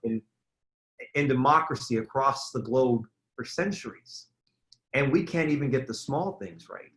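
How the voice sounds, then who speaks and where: Male forum moderator. Earnest, conversational, measured phrasing, checks in with listeners, average-pitched voice. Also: fairly bright tone, poor audio.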